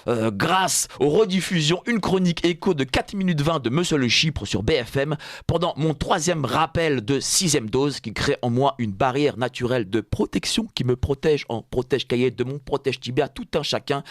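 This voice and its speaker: A man's voice: medium pitch, normal speed, no echo. A man with a French accent giving a satirical commentary in a radio studio, sarcastic and mock-solemn, laughing at his own jokes. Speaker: radio columnist.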